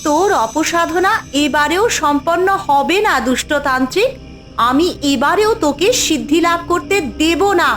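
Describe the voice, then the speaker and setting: Woman voicing a high, urgent firebird. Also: normal speed, music in the background, lightly acted. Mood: defiant. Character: defiant magical firebird, urgent and righteous. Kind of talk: cartoon fairy tale voice acting.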